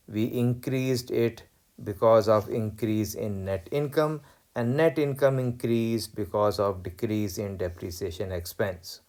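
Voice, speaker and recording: Slow-paced man giving an accounting lecture. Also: mid-range voice, darkish tone. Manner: deliberate, repeats key points. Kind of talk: instructional lecture, explaining worked exercises step by step. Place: voice-over recorded over tablet screen.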